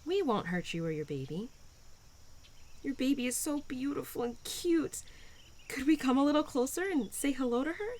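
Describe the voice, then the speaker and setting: A woman's voice: high-pitched, moderate pace, a little hiss or hum. Woman acting a bubbly, lilting spring spirit. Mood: tender, adoring. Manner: bubbly, affectionate, gently teasing, expressive. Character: cheerful, playful, nurturing young female spirit of spring. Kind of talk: roleplay audio drama addressed to the listener.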